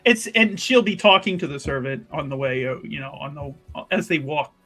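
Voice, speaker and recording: Male roleplayer, slightly high register, casual and talkative, loose rambling improvisation. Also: animated, normal speed, pushed and bright, faint music behind. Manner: rambling, chatty. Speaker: tabletop game player.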